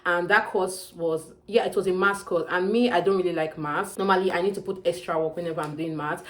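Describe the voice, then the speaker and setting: A young woman with a Nigerian accent delivers chatty advice straight to camera, with an emphatic streak and frequent calls to her viewers. Her voice sits on the low side and she talks at a brisk clip. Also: steady tone. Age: young adult. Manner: chatty, emphatic, often calls out "you guys". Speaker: student vlogger. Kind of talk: casual advice vlog to camera.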